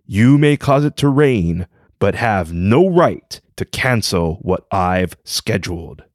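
A man's voice: lowish voice, big pitch swings, slow, dry recording, clean studio sound, pitched below the performer's own voice. A man voicing a stern, commanding male heavenly emperor who speaks coldly and with authority. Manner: cold and commanding.

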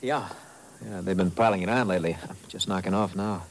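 An actor playing an obliging office worker in a 1950s radio drama, with an offhand, chatty manner. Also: male voice, low voice, a little fast. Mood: casual. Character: casual, obliging male office worker heading home.

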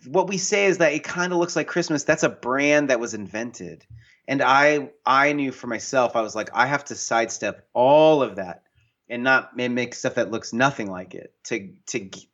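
A man's voice: mid-range voice, normal speed, highs cut off. A man from the US Northwest, a guest author and painter, talking casually in an interview and acting out what people said, with lots of fillers. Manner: animated storyteller, acts out quoted voices, many fillers.

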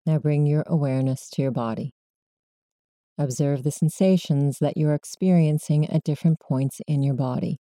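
Low, dark-voiced woman guiding meditation. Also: steady tone, no room sound, clean studio sound. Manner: slow, gentle, measured instruction. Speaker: meditation instructor. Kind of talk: guided meditation narration.

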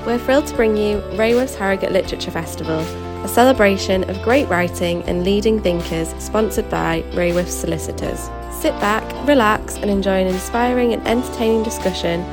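A woman's voice: mid-range voice, brisk. A woman hosting a podcast, reading a scripted introduction in a polished, welcoming announcer style. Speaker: podcast host. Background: music.

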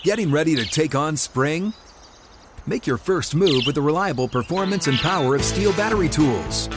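A man reading a scripted commercial as an advertisement announcer. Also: a little high.

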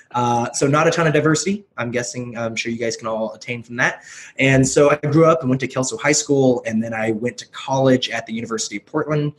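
Man in his thirties, US guest, energetic storyteller, swears casually, mid-range pitch. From United States. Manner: energetic, self-deprecating storyteller, swears freely. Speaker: podcast guest. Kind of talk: casual chat.

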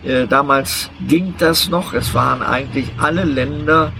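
Older guy with a gravelly voice, umming and ahing a lot, outdoors with a little background hum. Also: mid-range voice. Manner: deliberate, hesitant, many filler sounds. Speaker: interviewee, long-term overland traveler. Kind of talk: outdoor interview. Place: outdoors beside a vehicle museum.

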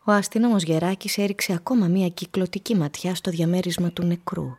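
A woman narrating a literary radio play in a measured, deliberate manner. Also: on the low side, fairly even intonation, darkish tone.

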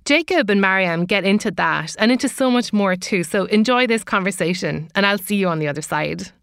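Smooth-voiced woman reading a show intro. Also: medium pitch, expressive, brisk, a bit bright, no room sound, studio quality. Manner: polished, scripted delivery. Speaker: podcast host. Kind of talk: scripted introduction.

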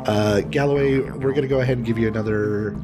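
A man's voice: medium pitch, fairly even intonation, fast, music in the background. A man speaking casually as a podcast host and game master. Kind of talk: casual intermission announcement and game narration.

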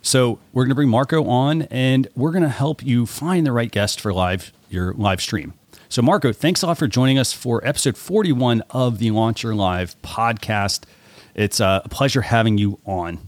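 A man co-hosting a podcast, introducing the topic and the guest in a relaxed, conversational way. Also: medium pitch, moderate pace, no echo. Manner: easygoing, with occasional fillers. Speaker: podcast co-host.

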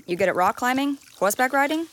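A woman's voice: high voice, expressive, quick pace, fairly bright tone, a little hiss or hum. An actress playing a tough, sardonic, foul-mouthed young woman who leads a team in a fantasy audio drama. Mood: mocking. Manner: blunt, sardonic.

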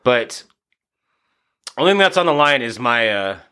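Bright-voiced, profane man in casual chat. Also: medium pitch, sing-song, unhurried, smooth. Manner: casual, profane, storytelling with acted asides. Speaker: podcast guest, boxing coach and former DJ.

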